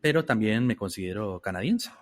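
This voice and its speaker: A man from Costa Rica answering interview questions in a measured, reflective way, often restarting his phrases. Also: fairly low pitch, lively intonation. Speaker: interview guest.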